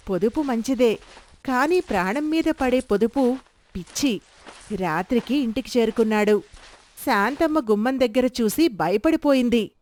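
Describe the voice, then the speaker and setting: A woman's voice: on the high side, a little mellow. Woman narrating a storybook tale.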